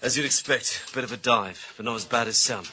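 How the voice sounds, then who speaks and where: An actor playing a cynical, grumbling junior British police detective in a radio thriller, talking bluntly and colloquially. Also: male voice, medium pitch, quick pace, pushed and bright, a little hiss or hum. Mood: matter-of-fact, wry. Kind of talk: naturalistic radio thriller acting.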